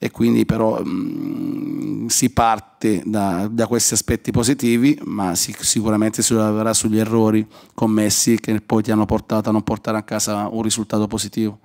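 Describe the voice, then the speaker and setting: Football coach in his forties, lowish voice, measured and halting, desk mic in a press room. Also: flat delivery, vocal fry, a little mellow. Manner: measured, hesitant, many pauses and fillers. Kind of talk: post-match press conference answers. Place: press room in front of a sponsor board. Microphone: desk microphone.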